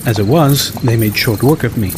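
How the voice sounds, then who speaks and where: A man's voice: medium pitch, moderate pace, noisy background. A man reading a first-person story as an audiobook narrator.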